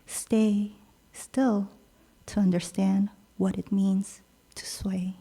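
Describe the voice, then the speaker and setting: A woman poet, native Korean speaker raised in Peru, reading her own poems aloud in a measured, pausing delivery. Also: medium pitch, level tone, creaky, breathy edge. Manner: slow, measured delivery with soft pauses between lines. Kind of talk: poetry reading.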